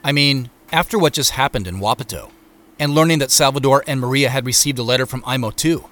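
A man's voice: mid-range voice, fast, a bit bright, close to an everyday voice. An actor playing an earnest man recounting his investigation in a first-person audio drama monologue. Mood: reflective.

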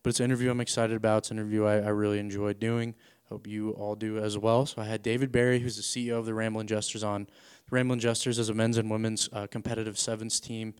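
Smooth, flat-voiced male podcast host. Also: fairly low pitch. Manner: relaxed, chatty, with frequent restarts and fillers. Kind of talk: casual podcast interview.

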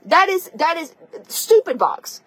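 A woman's voice: very high-pitched, very animated, slow pace, no echo, rough recording. A woman podcast host and coach giving a blunt, profane, emphatic tough-love motivational talk, speaking conversationally and straight to the listener. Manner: conversational, addressing the listener directly.

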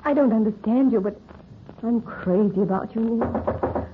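A woman's voice: fairly high pitch, measured pace, music in the background, lightly acted. An actress playing a nervous, lovestruck young woman with anxious, pleading delivery, in 1930s radio drama style. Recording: tinny, hissy 1930s radio recording.

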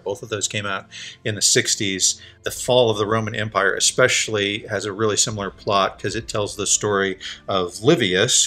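Male co-host, lowish pitch, bright edge, relaxed storyteller with dry wit. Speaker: podcast co-host. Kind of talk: casual movie chat.

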